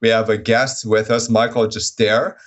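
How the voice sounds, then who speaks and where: A man's voice: fairly low pitch, flat delivery, moderate pace, muffled recording. A man hosting a podcast while fighting a cold, friendly and stumbling over his words a little. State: has a cold. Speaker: podcast host.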